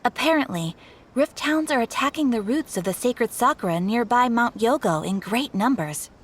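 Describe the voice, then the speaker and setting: A woman's voice: a little high, animated, moderate pace, breathy edge. A woman playing a composed female guild receptionist in a game, giving a formal, courteous briefing.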